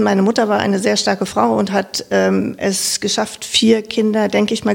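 A middle-aged woman with a northern German accent, her voice gravelly with a husky edge. She talks reflectively in an interview, stopping often for fillers as she searches for her words. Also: medium pitch, a little mellow. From Lower Saxony, northern Germany. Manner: hesitant and reflective, many fillers. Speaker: interviewee, local council member. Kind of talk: casual interview talk.

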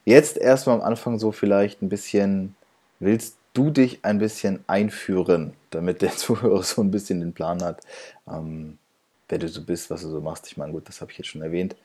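Low-voiced relaxed male podcast host. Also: normal speed. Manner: relaxed, laughs often, loose restarts. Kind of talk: casual interview chat.

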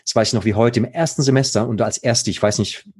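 A man's voice: mid-range voice, steady tone, quick pace, a little mellow, husky. A man, a mental coach talking casually and enthusiastically in an interview. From Baden, Germany. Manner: enthusiastic, rambling, slangy.

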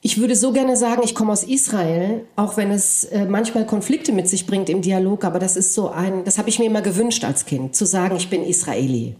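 A woman's voice: medium pitch, brisk, hardly any pauses, warm tone, roomy sound. A woman, a native Polish speaker who grew up in Germany, giving a thoughtful, searching interview answer with frequent hesitations. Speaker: interview guest. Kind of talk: reflective conversation.

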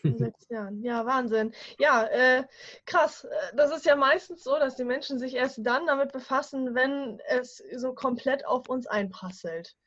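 A woman hosting an interview talk show, asking questions in a friendly, encouraging way with quick affirmations. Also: high-pitched, fairly bright tone. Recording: dull lo-fi sound with faint music.

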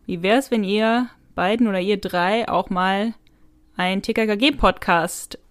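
A woman's voice: medium pitch, measured pace, no room sound. Woman co-hosting, dry close sound. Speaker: podcast co-host. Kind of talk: casual chat.

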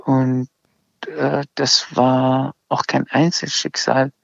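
Slow gravelly guy, middle-aged, lots of ums and restarts, dry kinda dull recording. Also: mid-range voice, flat delivery, fairly bright tone, dry recording. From Iran. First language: Azerbaijani. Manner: hesitant, many restarts and fillers. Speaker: interview guest. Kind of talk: casual conversation. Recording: slightly dull lo-fi sound.

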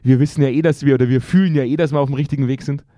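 A hoarse man co-hosting a podcast from an office, chatting in a quick, joking and teasing way. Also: medium pitch, fairly even intonation, mellow, dry recording, clean studio sound. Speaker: podcast co-host. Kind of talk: casual joking chat.

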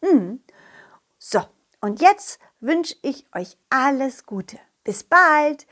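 Woman playing a high-voiced, lively storytelling dog. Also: very slow, exaggerated voice. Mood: friendly, chatty. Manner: childlike, animated, addresses young listeners directly. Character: confident, loyal male dog telling his adventures to children. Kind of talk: children's audio story narration.

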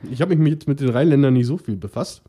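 A man's voice: mid-range voice, fast talker, mellow, slightly rough. A man from the Ruhr area with a Ruhr German accent, chatting casually and joking with many fillers. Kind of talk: casual chat.

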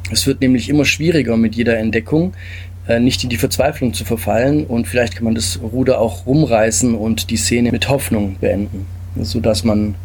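A man with a husky, slightly breathy voice at an ordinary middle pitch. He explains his tabletop game step by step in a calm, patient way, pausing often on filler sounds. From Italy. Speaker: game designer, guest.

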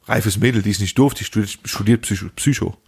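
A man's voice: fairly low pitch, quick pace, creaky, darkish tone, slightly rough. A young man co-hosting a podcast in casual, slangy chat, telling stories animatedly and acting out the dialogue. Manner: slangy, acts out dialogue in anecdotes. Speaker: podcast host.

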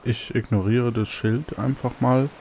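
Slow, hesitant man over background noise. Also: mid-range voice, steady tone, a little mellow, rough recording. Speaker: contestant, player. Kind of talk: casual game play.

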